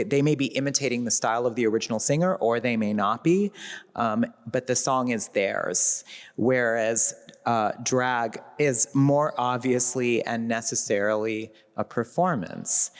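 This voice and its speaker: A man with a Midwestern American accent, a literary translator speaking thoughtfully on a festival panel and laughing lightly as he goes. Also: medium pitch. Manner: thoughtful, laughs lightly, slows down when reading lines aloud. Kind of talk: reflective panel talk. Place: festival event hall. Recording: long hall reverb.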